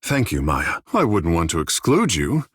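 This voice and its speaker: Young proud godlike from a fantasy game, lowish husky voice, talks all formal and poetic. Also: male voice, huge pitch swings, normal speed, no echo, studio quality, full character voice. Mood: earnest. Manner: formal, lyrical, theatrical phrasing. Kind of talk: video game character dialogue.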